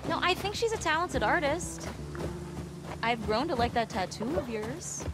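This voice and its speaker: A woman's voice: sits high, animated, moderate pace. Playful blunt young woman patrol rider, natural video game dialogue, music under it. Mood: candid, teasing.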